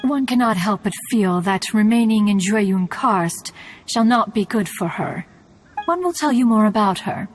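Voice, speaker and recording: A woman's voice: mid-range voice, natural voice. A woman voicing a haughty yet fond ancient mountain immortal in a video game, speaking in archaic, formal language. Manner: archaic, formal, lofty speech. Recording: music playing behind.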